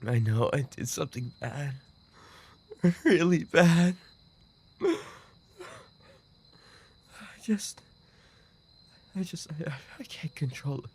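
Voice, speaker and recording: A man playing an obsessive, unstable yandere roommate in an audio roleplay, with an intimate, halting delivery. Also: lively intonation, heavily acted. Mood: anguished, pleading. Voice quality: low, gravelly. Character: obsessive male yandere roommate, soft-spoken, darkly devoted, unstable. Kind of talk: boyfriend ASMR audio roleplay.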